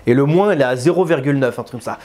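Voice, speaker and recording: A man's voice: mid-range voice, rapid-fire, a little mellow. A man, talking casually and informally to camera as a video game reviewer, using slang. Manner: informal, slangy, chatty, gesturing. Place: small indoor room with bookshelves.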